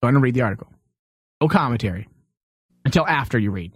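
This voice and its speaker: A man's voice: medium pitch, lively intonation, fast, breathy edge, dry recording. A man hosting a bantering talk show in a bossy, interrupting manner.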